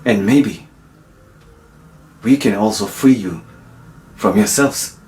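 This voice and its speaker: A man acting a defiant, resolute young freedom fighter on trial in a dramatic monologue, speaking deliberately with long pauses. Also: fairly low pitch, a little mellow, a little hiss or hum. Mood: grave, determined. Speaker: actor.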